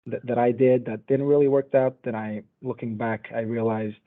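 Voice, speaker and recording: A man in his twenties from Portugal, giving a relaxed, conversational talk in an online lecture. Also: medium pitch, normal speed. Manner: relaxed, conversational, loosely paced. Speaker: panel speaker. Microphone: video call. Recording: tinny phone-like video call audio.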